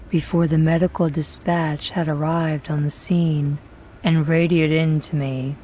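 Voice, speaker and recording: A woman giving a slow, somber first-person horror story narration. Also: deep voice, noisy background.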